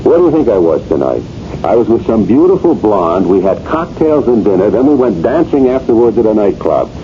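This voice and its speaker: A weary, evasive police lieutenant with a low voice and lively inflection. His delivery is terse and world-weary, in 1970s radio-drama style. The recording is old, muffled and noisy. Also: fairly quick. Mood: sarcastic. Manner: clipped, laconic, world-weary.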